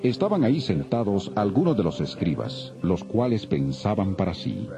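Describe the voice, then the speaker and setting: Deep-voiced man narrating scripture. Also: sing-song, normal speed. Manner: solemn storytelling. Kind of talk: dramatic scripted Bible narration. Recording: dull lo-fi, music behind.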